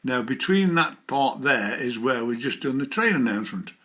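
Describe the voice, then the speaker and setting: A man with a bright, clear-cut voice of middling pitch gives an informal tutorial. He talks through each step while doing it, in a practical, hands-on manner. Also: animated, normal speed. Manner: hands-on, talking through each click as he goes. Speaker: presenter of a how-to video. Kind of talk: informal step-by-step software demonstration.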